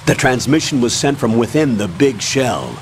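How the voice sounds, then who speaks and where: An actor playing a stern, authoritative older colonel who briefs firmly and formally in a video game. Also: male voice, medium pitch, big pitch swings, normal speed, a little hiss or hum. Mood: stern, flat. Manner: firm, formal, matter-of-fact. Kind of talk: dramatic game voice acting.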